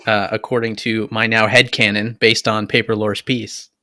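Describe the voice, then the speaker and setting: Lowish-voiced man co-hosting, dry sound. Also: level tone, normal speed, pushed and bright, no room sound. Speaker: podcast co-host. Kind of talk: casual chat.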